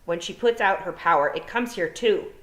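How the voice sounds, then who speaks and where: A woman's voice: fairly low pitch, moderate pace, a bit bright, lightly acted. A woman voicing a gloomy male toad in a storybook, speaking in clipped, terse warnings. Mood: grim. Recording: roomy echo.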